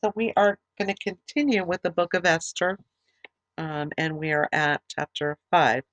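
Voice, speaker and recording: A middle-aged woman teaching a Bible study, reading scripture aloud in a plain, steady way, her voice tired and fading late at night. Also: lowish voice, dry recording. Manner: plain, steady reading with small halting restarts.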